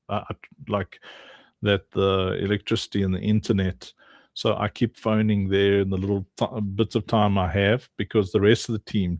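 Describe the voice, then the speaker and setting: A man's voice: fairly low pitch, dry recording, studio quality. A man from Africa as an interview guest, talking about his charity work with many ums and restarts. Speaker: guest, charity and game founder. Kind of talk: interview answers.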